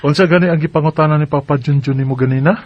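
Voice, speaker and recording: A man's voice: fairly high pitch, steady tone, racing, dry recording, close to an everyday voice. Radio drama suitor, male, twenties, Cebuano accent: tender, playful actor in role, over music, lo-fi sound. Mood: tender, teasing. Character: tender, playful 28-year-old man courting a single mother. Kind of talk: Bisaya radio drama acting and letter narration. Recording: dull lo-fi audio with music bed.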